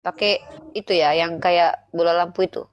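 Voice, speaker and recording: A woman's voice: on the low side, steady tone, moderate pace, dry recording. Woman, debate host, has a cold, dry close-miked sound, confident and combative. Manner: blunt, challenging, sure of herself. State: slight head cold. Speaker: host of a live religious debate stream. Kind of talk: argumentative live-stream debate.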